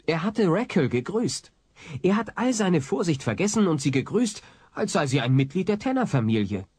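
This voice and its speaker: A man's voice: sits high, big pitch swings, moderate pace, darkish tone, slightly rough, full character voice. A man narrating an audio drama in a dramatic scripted style.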